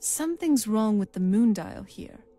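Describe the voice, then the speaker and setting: A woman's voice: medium pitch, expressive, a little slow, dark tone, soft background music. An actress playing a wistful, young-looking vampire noblewoman in a video game, speaking in a conversational way. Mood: puzzled. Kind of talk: video game character dialogue.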